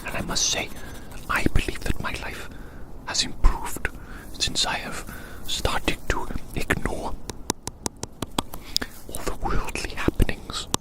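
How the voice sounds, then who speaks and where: An ASMR performer speaking in a breathy, unvoiced whisper, softly musing to the listener and gently repeating phrases in a roleplay. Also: low-quality recording. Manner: hushed, slow repetition of phrases, gently musing. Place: outdoors.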